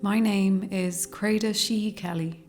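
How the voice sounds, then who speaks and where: A woman leading a guided meditation, speaking slowly and calmly in a soothing, measured way with long pauses. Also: mid-range voice. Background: meditation music with singing bowls.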